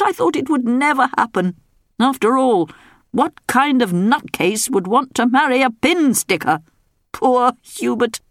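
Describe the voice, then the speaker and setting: A loud, indignant old-fashioned storybook king, bald and put-upon, roaring his complaints in a high, lively, strained voice, as a woman narrator puts him on. The sound is close and dry. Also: dry recording. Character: blustering bald male king, grumpy and complaining.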